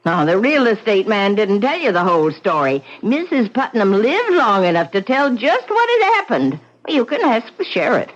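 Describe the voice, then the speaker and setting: An actress playing a bitter, superstitious old widow in a radio drama, speaking in a dire, theatrical way. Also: female voice, fairly high pitch, sing-song, normal speed, few pauses. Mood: ominous. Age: older. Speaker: widow in wheelchair. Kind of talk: vintage radio drama acting. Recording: dull old radio recording.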